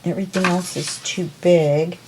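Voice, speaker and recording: A woman hosting a crafting tutorial, chatting calmly and unhurriedly as she works. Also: fairly low pitch, noisy background. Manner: calm, unhurried, talks while working. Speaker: crafting video host. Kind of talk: casual crafting tutorial chat.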